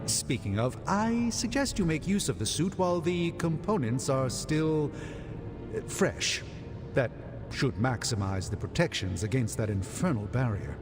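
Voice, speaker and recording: A man's voice: medium pitch, sing-song, measured pace. A man voicing a proud, erudite sorcerer doctor in a video game, formal and self-satisfied. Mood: dry, miffed. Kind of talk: video game cutscene acting. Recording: reverberant hall with loud music. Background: loud music.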